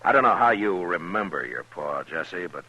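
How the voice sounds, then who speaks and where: An actor playing a stern, steady American marshal of the Old West in a 1950s radio western, terse and authoritative. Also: male voice, deep voice, moderate pace, slightly rough, highs cut off, exaggerated voice. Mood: grave, frank. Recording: noisy old radio drama recording.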